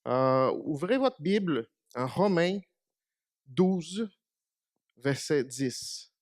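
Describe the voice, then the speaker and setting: Preacher, male, native Portuguese speaker, slightly high voice, chatty sermon to a few people. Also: expressive, extremely slow, darkish tone, no room sound, studio quality. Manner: conversational, asks the congregation questions. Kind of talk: short church meditation. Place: small church.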